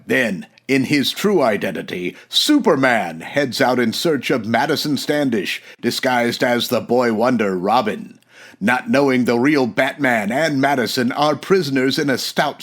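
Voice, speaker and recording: A man's voice: mid-range voice, natural voice. A man performs a dramatic old-time radio narrator. His mid-range voice delivers scripted scene-setting with theatrical weight, as for an adventure serial.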